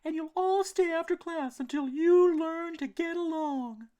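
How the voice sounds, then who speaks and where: A woman voicing a strict hen schoolteacher with a sharp, commanding manner in a storybook. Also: extremely high pitch, measured pace, pitched above the performer's own voice. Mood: stern.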